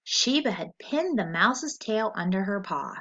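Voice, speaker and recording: Expressive, unhurried woman teacher reading aloud. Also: medium pitch, slightly rough. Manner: instructional, expressive, deliberate. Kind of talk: scripted story read-aloud with comprehension questions. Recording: dull lo-fi recording.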